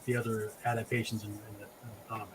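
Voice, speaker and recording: A man hosting a relaxed, casual group chat about pop culture. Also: on the low side, quick pace, noisy background. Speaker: host of a drink and draw show.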